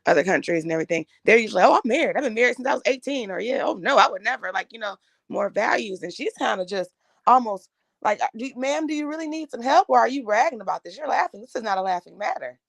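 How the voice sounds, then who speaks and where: Quick, creaky-voiced woman chatting on a panel. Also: on the high side, lively intonation, dry recording. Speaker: panelist, nurse. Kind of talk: casual chat.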